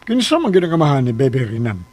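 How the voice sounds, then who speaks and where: A man's voice: mid-range voice, big pitch swings, fast, heavy background noise, close to an everyday voice. A man acting in a Philippine radio drama as an older father-in-law, questioning insistently in a Filipino accent and standing up for his son. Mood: probing, stern.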